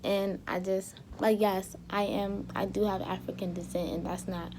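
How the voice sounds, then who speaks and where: A young woman vlogging casually to her viewers, a little hoarse as she loses her voice, rambling with lots of fillers and restarts. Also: mid-range voice, flat delivery, moderate pace, noisy background. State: slightly hoarse, losing her voice. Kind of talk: casual talk to camera.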